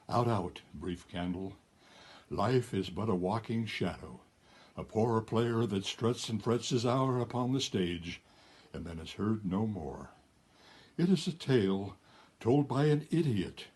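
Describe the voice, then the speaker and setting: A weary, despairing king, performed by a man, speaks in a low, husky voice. The delivery is somber, and each phrase falls away quietly at its close. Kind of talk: classical stage monologue.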